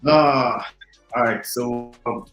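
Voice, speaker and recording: A man from the Bahamas, a guest talking unhurriedly about his music, with many ums and pauses. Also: medium pitch, fairly bright tone. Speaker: DJ and drummer, interview guest. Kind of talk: relaxed conversational interview. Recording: echoey room.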